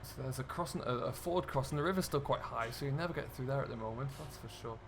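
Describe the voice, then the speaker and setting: A British man with a mid-pitched voice hosts a gameplay video in a casual, chatty way. He thinks out loud and explains each job as he goes. Engine and vehicle noise runs clearly behind his voice. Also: quick pace. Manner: chatty, musing aloud, explanatory. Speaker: video game streamer, host. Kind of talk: casual commentary over farming simulator role play. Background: game tractor and loader engine sounds.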